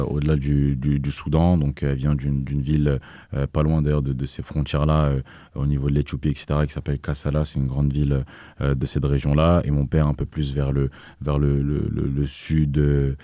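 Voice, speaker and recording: A man's voice: rumbling low, little pitch movement, normal speed, warm tone, dry recording, studio quality. A young man talking casually in an interview, hesitant, with many fillers and restarts. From France. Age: young adult. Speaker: guest, activist.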